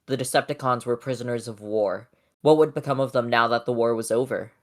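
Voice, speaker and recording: A person voicing a solemn robot war leader in grave, measured, reflective comic-dub narration. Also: medium pitch, fairly even intonation, pitched below the performer's own voice, natural voice.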